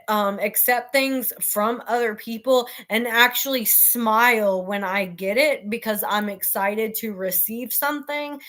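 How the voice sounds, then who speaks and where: A mother who hosts her own show, speaking earnestly in a naturally loud voice, with frequent pauses and fillers as she talks about her life and book. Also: fairly high pitch. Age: adult with children. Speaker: host.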